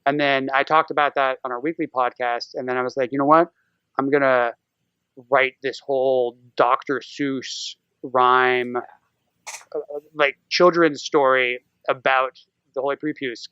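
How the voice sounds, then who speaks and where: A man with a smooth, clear voice tells stories in a casual, irreverent podcast chat. His pitch sits in the middle range. The sound is very dry and close, though a little muffled. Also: steady tone, a little slow, pushed and bright, no echo. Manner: whimsical, irreverent. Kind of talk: casual humorous chat and storytelling. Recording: slightly dull, muffled lo-fi audio.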